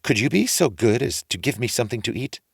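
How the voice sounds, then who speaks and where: Casting: male reader as hungry young boy, higher voice, polite pleading, bold streak, animated. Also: fast, vocal fry, breathy edge, no room sound, clean studio sound. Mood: bold, sly.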